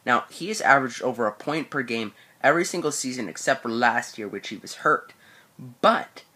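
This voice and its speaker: A teenage boy giving a steady, prepared breakdown of a hockey player's stats. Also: mid-range voice, a little fast, breathy edge. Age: teens. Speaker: co-host.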